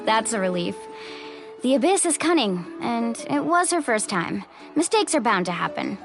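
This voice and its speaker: A woman's voice: on the high side, big pitch swings, moderate pace, creaky, music in the background. A woman playing a friendly, easygoing young guide in a video game, with a breezy, casual and reassuring manner. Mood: concerned, relieved. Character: Mualani, friendly young female water-tribe guide, easygoing and upbeat. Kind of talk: video game character acting.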